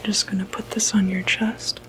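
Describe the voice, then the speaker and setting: A woman whispering breathily in an ASMR medical roleplay, playing a reassuring doctor with a hesitant, gentle bedside manner. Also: medium pitch, little pitch movement, moderate pace, poor audio. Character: calm, reassuring doctor who treats demonic possession.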